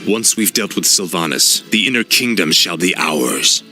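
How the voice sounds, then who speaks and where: An actor playing a cold, arrogant fallen prince turned death knight in a video game, with a deep, menacing voice and a haughty, commanding manner. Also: male voice, lively intonation, normal speed, over music. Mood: irritated. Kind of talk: video game cutscene acting.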